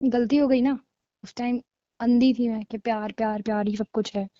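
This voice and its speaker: A woman's voice: fairly high pitch, steady tone, moderate pace, dark tone, no room sound, dull audio, lightly acted. A young woman playing a blunt, scornful girlfriend breaking up with her boyfriend in a naturalistic audio drama of a couple's call. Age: young adult. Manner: blunt, dismissive, argumentative.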